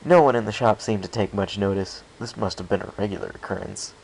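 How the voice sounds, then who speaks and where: Creaky-voiced man reading a story. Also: lowish voice, brisk, a little mellow, slight background noise, rough recording. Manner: stumbles and rereads phrases. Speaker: story reader. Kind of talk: first-person storybook narration.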